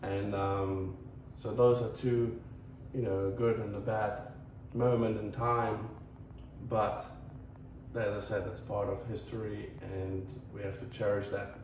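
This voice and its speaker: A man from Croatia with a Croatian accent, talking casually to viewers as a tennis channel host in a halting, earnest, rambling way. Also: fairly low pitch, flat delivery. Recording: echoey, distant mic, faint noise.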